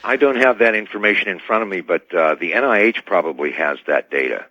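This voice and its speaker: A man speaking as a guest in a radio interview, choosing his words carefully and formally, with hesitant, measured phrasing. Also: deep voice, expressive, no echo. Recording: dull band-limited radio line.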